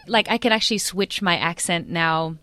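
A woman's voice: fairly low pitch, normal speed, sharp tone, dry recording, studio quality. A young woman from Australia with an Australian accent, talking in a casual interview as the guest, thoughtful, with many fillers and restarts.

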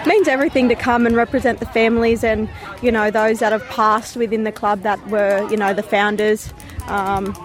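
Woman, footy player, fairly high voice, easygoing chat, vox pop. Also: steady tone, normal speed, over music. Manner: easygoing, chatty.

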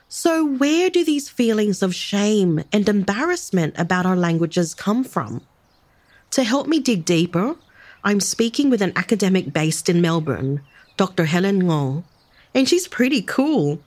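Calm woman podcast host. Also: mid-range voice. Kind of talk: scripted narration and interviewing.

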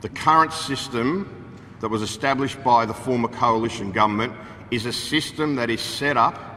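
A male union official makes a public statement. His voice is bright and forceful at a middle pitch, heard with long reverb and light noise. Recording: noisy, long echoing reverb.

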